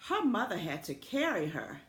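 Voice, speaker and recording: A woman, an elementary school principal, reading a children's story aloud in a deliberate, expressive way, with simple friendly asides for young listeners. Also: mid-range voice. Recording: roomy echo with faint background noise.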